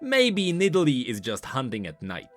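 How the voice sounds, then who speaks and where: A man's voice: mid-range voice, huge pitch swings, normal speed, faint music behind. A man giving scripted commentary on video game lore and voice lines in an emphatic, explanatory way.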